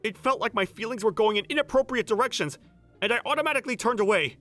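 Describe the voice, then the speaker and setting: A man's voice: sits high, quick pace, fairly bright tone, soft background music. An actor playing an earnest, protective young male manager who narrates a romcom manga dub in first person. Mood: flustered. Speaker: narrator and lead character.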